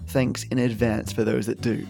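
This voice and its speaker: A man's voice: mid-range voice, flat delivery, normal speed, gravelly, warm tone. Effusive podcast host man, thanking listeners. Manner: effusive, playful, grateful. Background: faint music.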